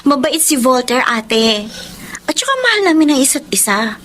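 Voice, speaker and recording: A woman's voice: high voice, lively intonation, normal speed, fairly bright tone, slight background noise. A woman playing an emotional young working-class daughter in love, in Tagalog melodrama style. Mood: smitten, proud.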